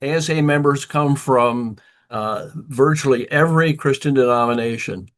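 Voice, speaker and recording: A man's voice: mid-range voice, normal speed. Older male host, hesitant and chatty. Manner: warm, hesitant with many fillers. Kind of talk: interview conversation.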